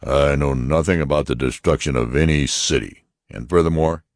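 A man's voice: extremely low pitch, moderate pace, no echo, studio quality. A man voicing a heavy-set businessman who indignantly denies any involvement. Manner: indignant, defensive.

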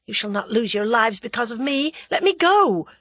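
Frightened young girl, read by a woman, urgent and pleading, high and lively. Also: normal speed, no room sound. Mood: desperate.

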